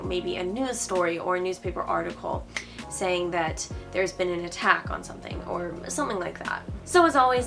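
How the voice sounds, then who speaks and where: Casting: female online host, lower-middle to middle pitch, informal, sarcastic commentary style, music clearly behind the voice. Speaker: host of a writing advice channel. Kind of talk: opinionated video commentary, semi-scripted.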